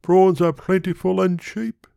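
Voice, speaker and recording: High-pitched, lovelorn little creature. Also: male voice, fairly even intonation, a little slow, gravelly, dark tone, dry recording, studio quality. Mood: eager wooing. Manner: pleading, declaiming in verse. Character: tiny big-headed lovelorn fantasy creature, earnest and wistful.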